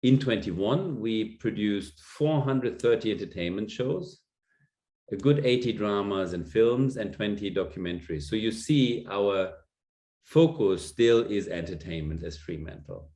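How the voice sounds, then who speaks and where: A man's voice: fairly low pitch, expressive, unhurried, dark tone. A man with a German accent giving a masterclass presentation, hesitant with many ums and restarts. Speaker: lecturer. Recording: echoey room.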